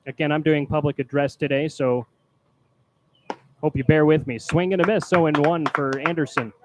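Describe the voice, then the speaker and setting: A man calling live baseball play-by-play from a booth as announcer, projecting loudly on the calls. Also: fairly high pitch, steady tone, normal speed. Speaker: baseball play-by-play announcer, also doing public address. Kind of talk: live play-by-play sports commentary. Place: press booth at a ballpark. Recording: clear background noise.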